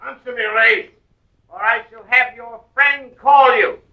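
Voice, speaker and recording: Male villain, very high strained pitch, slow formal threats, echoing as from afar. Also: pushed and bright, exaggerated voice. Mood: coldly threatening. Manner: deliberate, formal, calling out. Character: menacing, formal male villain shouting demands. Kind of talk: 1940s radio drama acting. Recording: echoing, distant from the microphone.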